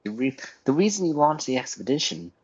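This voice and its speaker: A man's voice: mid-range voice, sing-song, brisk, husky, muffled recording. A man giving casual commentary as he streams a game playthrough.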